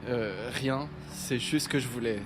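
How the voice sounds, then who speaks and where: Un homme jouant un ado timide et seul. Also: male voice, mid-range voice, unhurried, over music, natural voice. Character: shy lonely teenage boy, new in town. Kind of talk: French audio drama.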